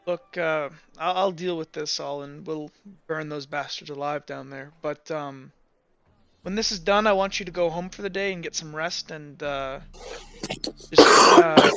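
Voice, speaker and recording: A man voicing a stern but caring female military commander in a tabletop game, speaking in a hesitant, measured, grave way. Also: fairly high pitch, gravelly, bright, forceful tone, smooth, no room sound, dull audio, faint music behind, heavily acted. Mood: grave, gentle.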